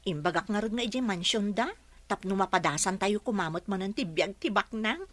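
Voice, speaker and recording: A woman playing a playful, dreamy young woman with an Ilocano accent in a comedy radio drama, teasing and expressive. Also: mid-range voice, brisk, lightly acted.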